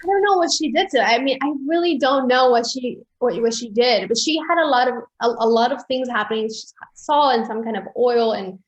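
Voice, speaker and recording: Chatty young woman from Peru, high voice. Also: lively intonation, fairly quick, hardly any pauses, lo-fi sound. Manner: chatty, many restarts and fillers, laughs easily. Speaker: interview guest, entrepreneur. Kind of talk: casual interview chat.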